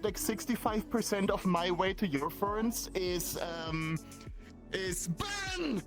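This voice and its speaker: A man from Germany with a German accent, chatting excitedly in a game, stammering and yelling. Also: high voice, slow, over music. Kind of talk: casual chat while playing a game.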